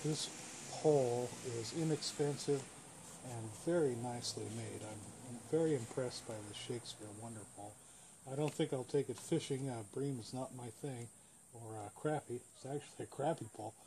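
American man, mid-pitched voice, casual how-to demonstration, plain-spoken, step-by-step, recorded outdoors with clear background noise. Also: normal speed. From United States. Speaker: host, radio hobbyist demonstrating a home-built antenna. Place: outdoors beside a parked car.

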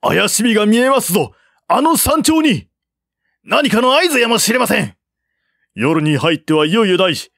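Male narrator as alarmed, loyal soldier, storybook epic, urgent pleading calls, raised pitch. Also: sing-song, moderate pace, creaky, a bit bright, no echo, clean studio sound, exaggerated voice. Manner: urgent calling out. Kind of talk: dramatic audiobook character reading.

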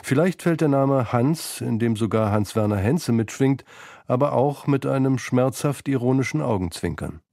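A man's voice: medium pitch, expressive, moderate pace, gravelly, mellow, dry recording, clean studio sound. A man reading scripted narration for a radio feature about literature. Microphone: close studio microphone.

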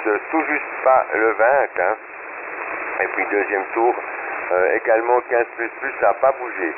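A man, a ham radio operator, giving signal reports in a courteous, orderly way during a radio chat. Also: medium pitch. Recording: tinny shortwave radio with hiss.

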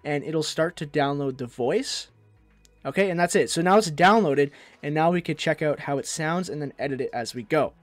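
A man hosting a software tutorial, narrating in a casual, conversational way. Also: on the high side, moderate pace, dry recording, faint music behind. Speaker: tutorial host.

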